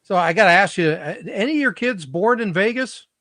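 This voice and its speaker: A middle-aged man hosting an interview, chatty and eager, quick to react and laugh. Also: high-pitched, lively intonation, bright, forceful tone, no echo, studio quality. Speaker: podcast host. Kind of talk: casual interview conversation.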